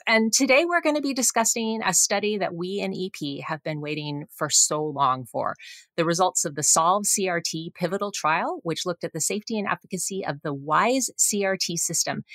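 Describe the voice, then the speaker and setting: A middle-aged woman hosts a medical podcast in a fairly low voice. The sound is dry, with no room echo, as she introduces and questions an expert. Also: a bit bright. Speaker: podcast host, physician and professor. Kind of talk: medical podcast interview hosting.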